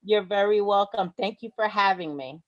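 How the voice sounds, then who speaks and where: A woman speaking as a guest on a video call, delivering a motivational talk in a slow, emphatic, earnest way. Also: mid-range voice, dull audio. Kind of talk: motivational speech.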